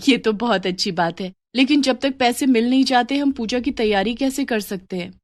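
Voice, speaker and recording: A woman voicing a caring, devout poor wife in a cartoon moral story, speaking gently and with concern. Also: on the high side, normal speed, dry recording, clean studio sound, voice pushed up, lightly acted.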